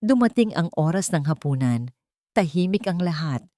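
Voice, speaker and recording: A woman's voice: fairly low pitch, animated, moderate pace, dark tone, no room sound, clean studio sound. A woman with a Filipino accent narrating a dramatic story from a script. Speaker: audiobook narrator.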